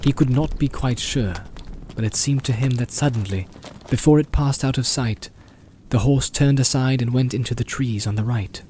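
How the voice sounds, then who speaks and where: A man with a mellow, medium-pitched voice narrates a fantasy tale. His delivery is deliberate and suspenseful, set over a faint soundscape of nature effects. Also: a little hiss or hum. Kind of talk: scripted audiobook narration, dramatic storytelling. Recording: home-made, nature soundscape mixed behind. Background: animal and nature sound effects.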